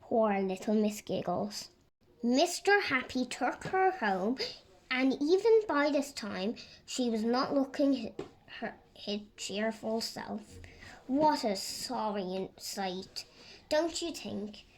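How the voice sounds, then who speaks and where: A woman reading a children's story aloud in an expressive, deliberate storytelling manner. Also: a little high, slight background noise. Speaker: storybook reader. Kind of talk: scripted narration of a children's story.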